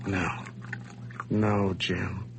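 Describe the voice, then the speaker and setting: A man's voice: low voice, flat delivery, drawn-out words, creaky, background noise, exaggerated voice. An actor playing a nervous, scheming man who stammers and dodges as he confesses at gunpoint in a radio play. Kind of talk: 1980s radio drama acting.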